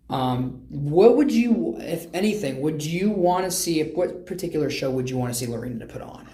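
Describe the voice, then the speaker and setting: A man's voice: mid-range voice, a little mellow. A man in his late teens hosting a casual podcast interview, talking in a rambling, encouraging way. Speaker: podcast host.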